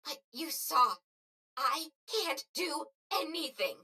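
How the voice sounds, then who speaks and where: A woman's voice: high voice, expressive, slow pace, pushed and bright, full character voice. A woman playing an anxious young girl who feels useless, faltering and emotional, in a video game. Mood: distressed, helpless.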